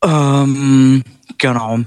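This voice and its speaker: A man's voice: medium pitch, steady tone, drawn-out words, darkish tone, clean tone, no room sound. A man who sings and writes the lyrics for a band, answering interview questions in a chatty way with light laughs. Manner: chatty, laughs between sentences.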